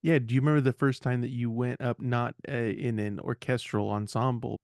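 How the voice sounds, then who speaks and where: A man's voice: medium pitch, steady tone, a little fast, creaky, a little mellow, no room sound, clean studio sound. A male podcast host asking casual questions, often restarting and repeating words as he goes.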